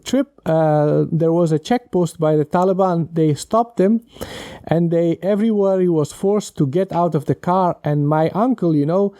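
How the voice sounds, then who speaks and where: Male podcast panelist, mellow and warm, very dry sound, deliberate halting storyteller. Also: fairly high pitch, no room sound. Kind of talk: explanatory storytelling.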